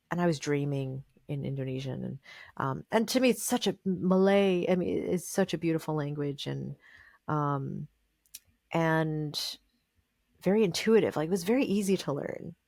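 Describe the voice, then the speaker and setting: A woman's voice: low voice, normal speed, gravelly, darkish tone, dry recording, studio quality. A woman being interviewed as a guest musician. She talks in a thoughtful, meandering way, with many fillers and restarts. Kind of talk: casual interview conversation.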